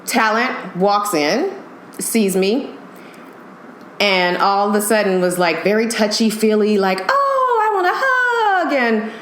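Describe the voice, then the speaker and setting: Animated, laughing woman storyteller. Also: medium pitch, slow. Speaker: podcast guest, TV makeup artist. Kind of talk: casual podcast chat. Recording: echoey room with faint noise.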